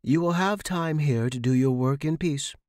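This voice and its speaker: A man's voice: fairly high pitch, moderate pace, a little mellow, no room sound, studio quality, pitched above the performer's own voice, lightly acted. A man voicing a stern, bored female housemate who speaks in a curt, insistent way in a narrated story. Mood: reassuring.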